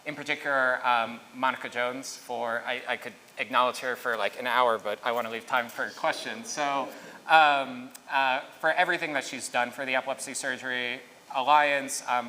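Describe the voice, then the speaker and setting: A man in his thirties presenting research at a conference, halting and full of fillers. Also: medium pitch, fairly even intonation, pushed and bright. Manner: halting, filler-heavy, restarts mid-thought. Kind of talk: conference talk with Q&A. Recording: echoey hall, faint noise.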